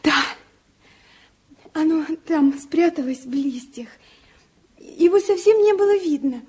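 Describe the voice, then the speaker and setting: An actress playing an excited, trusting little girl with a childlike, wondering manner in a 1970s radio drama. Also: very high-pitched, takes it slowly, exaggerated voice. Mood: delighted wonder.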